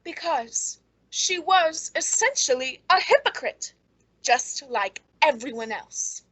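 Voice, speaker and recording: A high-voiced, bitter woman played by an actress broods through a radio suspense story. She speaks with pointed, deliberate menace, clever and quietly scornful of those around her. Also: very animated, full character voice, poor audio. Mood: cold, contemptuous. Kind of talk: old-time radio suspense acting.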